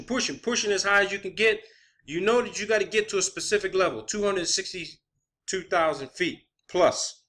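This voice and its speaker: A man speaks in a fairly high, very bright and forceful voice. He rambles through an opinionated online monologue, insistent and doubting, with constant profanity. He often repeats words to drive a point home. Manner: repeats words and phrases for emphasis. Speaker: online video host.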